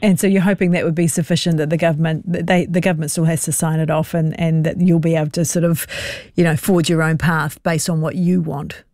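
A New Zealand radio host interviewing, reading listener feedback and giving traffic updates in a brisk, conversational way. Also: mid-range voice, flat delivery, a little mellow, no echo, studio quality. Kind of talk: radio interview and news presenting.

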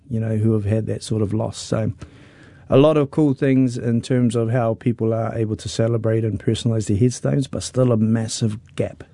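Gravelly earnest New man. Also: lowish voice, dark tone, no room sound. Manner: earnest, repeats words when starting. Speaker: guest, business owner. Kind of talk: interview answers.

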